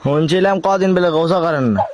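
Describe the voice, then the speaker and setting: A man's voice: on the high side, fast, crowd noise. A man with a Noakhali Bangladeshi accent making a prank call, teasing and persistently questioning in casual dialect. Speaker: prank caller.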